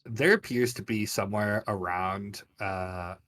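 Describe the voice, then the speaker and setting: A man's voice: fairly low pitch, measured pace, fairly bright tone. A man running a tabletop game as game master, narrating scenes with a descriptive, storytelling delivery.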